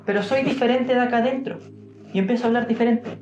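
A young man with a Chilean accent giving an informal devotional talk, musing aloud with pauses and fillers. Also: very high voice, steady tone, darkish tone, soft background music. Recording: roomy, dull-sounding room recording.

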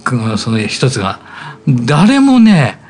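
A middle-aged man, a voice actor guest, reminiscing in a relaxed storytelling way and chuckling at himself. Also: mid-range voice, takes it slowly, husky. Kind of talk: casual reminiscing chat.

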